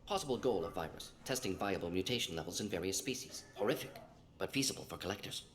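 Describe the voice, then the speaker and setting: Rapid-fire clipped alien scientist doctor. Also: male voice, sits low, animated. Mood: clinical, matter-of-fact. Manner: clipped telegraphic sentences, matter-of-fact. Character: brisk, clever alien salamander-like scientist doctor, ex-operative. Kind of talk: video game dialogue acting.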